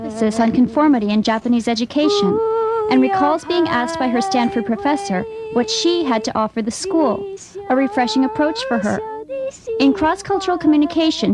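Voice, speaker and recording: A woman with a high voice reads a prepared summary. She keeps an even, read-aloud tone throughout. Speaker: narrator. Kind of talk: scripted summary narration.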